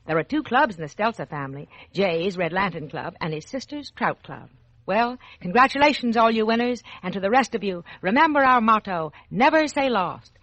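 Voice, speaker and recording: An American woman hosts a 1940s children's radio program in a scripted storyteller's style. Her mid-pitched voice moves up and down animatedly. The old radio recording is dry and close. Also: expressive, moderate pace, fairly bright tone, no room sound, highs cut off. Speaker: children's radio show host.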